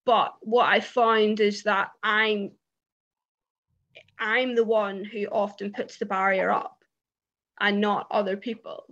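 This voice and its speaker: A woman's voice: medium pitch, little pitch movement, slow, pauses often, a bit bright, highs cut off. A British woman on a panel who stammers, talking casually with many fillers and repeated words. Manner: stammers, frequent fillers and repeats, laughs between points.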